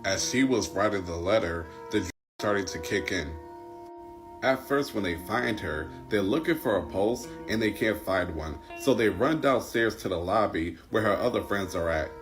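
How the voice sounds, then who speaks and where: A man's voice: lowish voice, expressive, normal speed, music in the background. A man giving informal storytelling commentary in a conversational, plain-spoken way.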